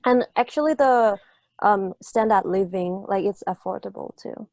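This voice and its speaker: A woman's voice: medium pitch, normal speed, darkish tone, no echo. A woman in her early twenties from Thailand with a Thai accent, answering informally as a student panelist. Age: early 20s.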